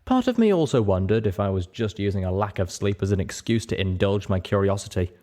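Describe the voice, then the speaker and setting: Low-voiced man narrating, roomy sound. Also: animated, a little fast, dark tone. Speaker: narrator, story reader. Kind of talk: expressive scripted story narration. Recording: roomy, clearly noisy home recording.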